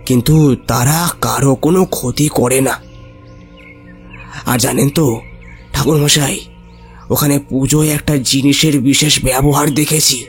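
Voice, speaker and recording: A man's voice: medium pitch, slightly rough. An actor playing a curious, respectful village man, speaking earnestly and deferentially in an audio horror story.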